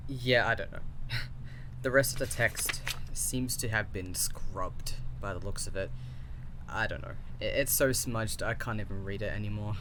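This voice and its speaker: A man's voice: lowish voice, normal speed, noisy background, close to an everyday voice. A man acting as a curious, cautious researcher in an audio drama, hesitant and thinking aloud in short phrases. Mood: puzzled, encouraging. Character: curious, cautious male researcher and code developer, encouraging.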